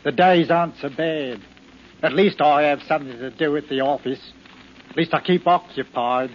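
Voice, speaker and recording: An actor playing a henpecked, irritable husband, curt and grumbling, in 1940s radio drama style. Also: male voice, on the high side, normal speed, natural voice. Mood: sullen. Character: henpecked, sullen, irritable English office clerk husband. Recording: dull, noisy 1940s radio recording.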